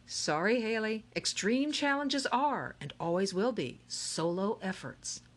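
A woman's voice: medium pitch, animated, unhurried, voice pushed up. A woman voicing an eccentric, playful grown man who runs a game, speaking theatrically in an audiobook. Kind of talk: audiobook character reading.

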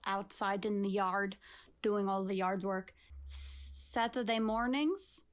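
A woman playing a deadpan female guest from Russia in an improvised comedy sketch, speaking with a put-on foreign accent. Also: mid-range voice, takes it slowly, natural voice.

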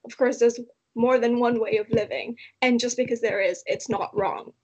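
A woman's voice: a little high, fairly even intonation, normal speed, darkish tone, husky, highs cut off. A young woman from Malaysia talking casually in an interview, with lots of "like" fillers. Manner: chatty, frequent "like" fillers.

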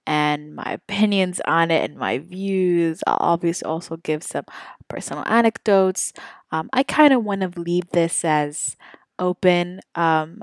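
A woman's voice: deep voice, no room sound, studio quality. A woman in her twenties hosting her own podcast, rambling casually with lots of ums and self-corrections. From Saudi Arabia. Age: 20s. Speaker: podcast host. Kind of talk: casual solo podcast chat.

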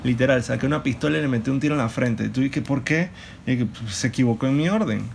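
Gravelly man joking around. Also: medium pitch, a little hiss or hum. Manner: joking, animated storytelling with quick laughs. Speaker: podcast co-host. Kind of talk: casual couple podcast chat.

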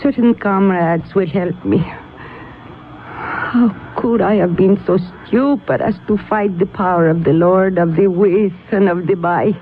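Old woman mystic played by an actress, exhausted and bitter, radio drama, slow labored speech, tinny narrow-band sound. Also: mid-range voice, close to an everyday voice. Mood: weary, regretful. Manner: weary, scolding, labored. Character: weary, bitter old aunt, a failing mystic. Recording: thin narrow radio sound, faint noise.

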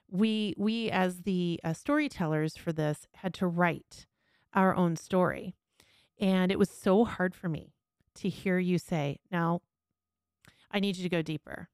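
A woman's voice: on the low side, clear, resonant tone, dry recording, clean studio sound. A woman speaking candidly and earnestly in a conversational podcast interview, with emphatic personal storytelling as host.

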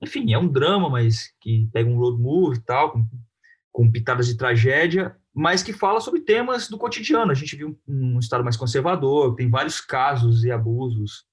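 A man with a Brazilian accent talking informally and haltingly in an interview about his own work, often adding little tag questions. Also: medium pitch, lively intonation, husky. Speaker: film director being interviewed.

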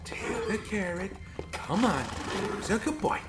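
A woman's voice: mid-range voice, animated, a little slow, reverberant. A woman coaxing an animal in short, encouraging commands during a field recording. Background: loud noise, animal sounds.

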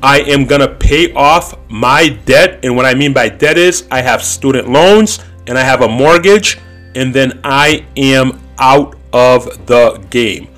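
A man's voice: mid-range voice, unhurried, a bit bright, faint music behind. A man in his thirties hosting a podcast, talking in a blunt, opinionated casual monologue. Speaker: podcast host.

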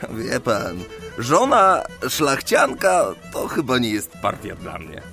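A man's voice: medium pitch, wildly swooping pitch, slow, creaky, pushed and bright, soft background music, heavily acted. A man playing a shy, polite young land surveyor in a radio play, modest and hesitant in manner. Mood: polite, amused.

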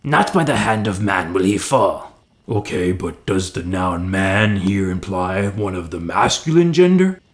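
Man playing a pedantic, lively wraith henchman. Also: sits low, unhurried, full character voice. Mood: quibbling. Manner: pedantic, argumentative.

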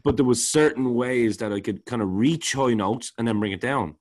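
A man with a baritone voice, talking casually as a podcast co-host and rambling, often repeating words while he searches for them. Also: moderate pace, darkish tone, a little hiss or hum. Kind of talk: casual music review chat.

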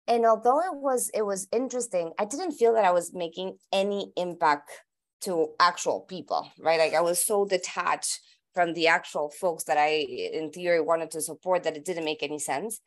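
A woman's voice: lowish voice, moderate pace. A Colombian woman whose first language is Spanish, answering interview questions in English with many restarts and repeated words as she thinks aloud. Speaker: guest.

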